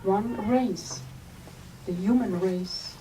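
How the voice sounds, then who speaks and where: Woman, reading her memoir, gentle storyteller, slow and unhurried, bookstore signing, distant mic. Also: medium pitch. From Switzerland. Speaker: author. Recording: distant mic with faint room noise.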